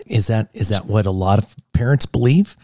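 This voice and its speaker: A man's voice: fairly low pitch, moderate pace, warm tone, dry recording, studio quality. A man co-hosting a casual chat, with a sarcastic way of making points through rhetorical questions. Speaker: co-host.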